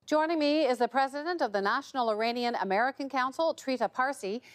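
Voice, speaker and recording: A woman's voice: sits high, quick pace. A woman working as a news presenter, delivering scripted reports and putting questions to guests in a broadcast style.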